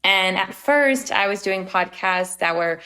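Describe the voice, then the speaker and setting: A young woman from Romania speaks as a guest on a podcast, answering questions casually and earnestly. She pauses now and then with small fillers as she gathers her thoughts. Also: medium pitch, animated, bright, forceful tone. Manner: earnest, a little hesitant, many fillers.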